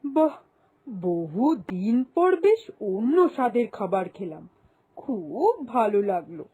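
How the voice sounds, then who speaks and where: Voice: mid-range voice, very animated, takes it slowly, husky, narrow, tinny audio, heavily acted. A performer voicing a weary, easygoing office-going husband in a moral-story cartoon, homely and plain in manner. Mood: content.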